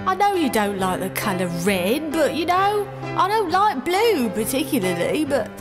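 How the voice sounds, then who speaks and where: A woman's voice: high voice, big pitch swings, moderate pace. A woman voicing a blunt but kindly male elf with a temper, rambling and chatty in an audio storybook. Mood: coaxing. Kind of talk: character acting in an audio storybook. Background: loud music.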